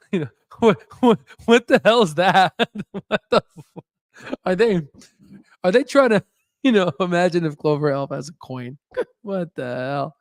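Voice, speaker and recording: A man hosting a stock channel, reacting to an interview clip with excitable, stammering disbelief. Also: fairly high pitch, no room sound, clean studio sound. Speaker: channel host reacting to a video. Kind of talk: live reaction commentary.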